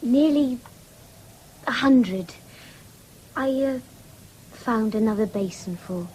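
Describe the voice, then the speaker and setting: An actress playing an anxious, gently firm woman nursing an invalid in a 1970s radio drama, with an English accent. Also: a little high, animated, slow pace, slightly rough, heavily acted, poor audio. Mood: firm, soothing. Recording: hissy old radio broadcast recording.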